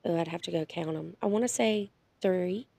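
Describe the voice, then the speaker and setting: Gravelly-voiced woman with a chest cold. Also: on the low side, moderate pace, warm tone, no room sound. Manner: storytelling, rambling through details. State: sick with chest congestion. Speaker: podcast co-host. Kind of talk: casual chat.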